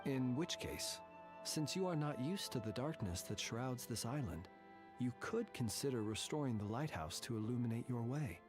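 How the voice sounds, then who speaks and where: A male actor plays a grave, gracious tomb guardian from a fantasy video game. He speaks in a mid-pitched male voice with measured, ornate politeness. Faint game music runs behind him. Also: expressive, lightly acted. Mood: solemn, measured. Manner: formal, measured, ornate courteous speech. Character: solemn, courteous male guardian of haunted cemetery tombs. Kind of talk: video game character dialogue.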